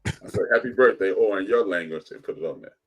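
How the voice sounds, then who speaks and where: A man's voice: deep voice, fast, husky, poor audio. A man from the Chicago area, casual podcast co-host, talking animatedly and trailing off when searching for a word. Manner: animated, loud bursts when excited, trails off searching for words. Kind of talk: casual chat.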